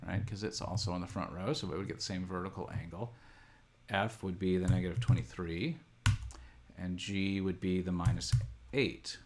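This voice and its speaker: A middle-aged man giving an unscripted tutorial, thinking aloud in a halting, methodical way as he talks through each step. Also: deep voice, steady tone, slight background noise. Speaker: instructor. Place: indoor room at a desk, computer screen recording.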